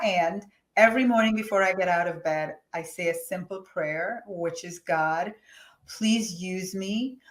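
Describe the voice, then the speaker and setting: A woman from Jamaica, a guest on an online interview over a video call, speaking in an earnest, reflective way. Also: lowish voice. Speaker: guest, business coach. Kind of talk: interview answers. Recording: roomy echo.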